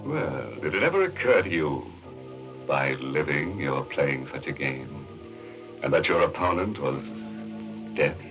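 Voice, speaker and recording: A man with a very low voice hosts a vintage radio mystery, with music behind him. He speaks slyly and theatrically, with macabre playfulness. The sound is dull and old. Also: lively intonation, unhurried. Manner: ghoulishly playful, sly, theatrical. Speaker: host and narrator of a mystery show. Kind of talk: 1940s radio horror hosting, theatrical narration.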